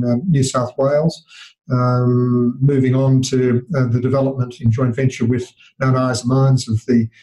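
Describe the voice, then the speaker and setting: An older man with an Australian accent, a mining company chief executive answering interview questions in a measured way with many hesitations and fillers. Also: medium pitch, warm tone, poor audio.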